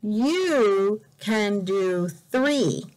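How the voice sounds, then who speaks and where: A woman teacher reading a picture book aloud to children in a deliberate, exaggerated way, repeating words for learners, recorded indoors on a device camera. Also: mid-range voice, animated, darkish tone, poor audio. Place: indoors in a room by a bright window.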